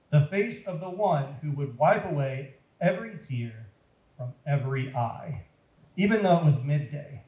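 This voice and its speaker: A man reads aloud to young listeners in a roomy, echoing space. His voice sits slightly high, and he takes his time. The delivery is deliberate and dramatic, shaped for children. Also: mellow, dull audio. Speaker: children's storyteller at a church. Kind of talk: expressive read-aloud to children. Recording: roomy echo.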